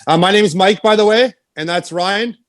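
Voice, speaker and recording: A man's voice: high-pitched, normal speed, a bit bright, no room sound. A man co-hosting a casual podcast interview, polite and encouraging, often repeating short phrases. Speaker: podcast co-host.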